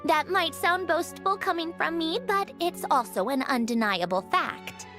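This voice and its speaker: A woman's voice: very high voice, lively intonation, measured pace. A woman playing a proud, prickly mechanical puppet who explains things precisely and haughtily in a video game cutscene. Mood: proud, reflective. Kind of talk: scripted game cutscene acting. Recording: music behind the voice. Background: game soundtrack music.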